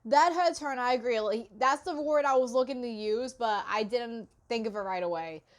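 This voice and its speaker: A woman's voice: a little high, normal speed. A woman giving casual, spontaneous reaction commentary as a video host.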